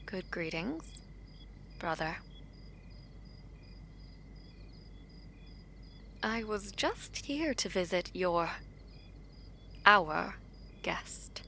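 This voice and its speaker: A woman playing a guarded, proud princess in a fantasy audio drama, speaking formally and deliberately with breathy phrase endings. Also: fairly low pitch, animated, sharp tone, a little hiss or hum, exaggerated voice. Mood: polite, awkward. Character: guarded, regal princess, a proud and protective sister.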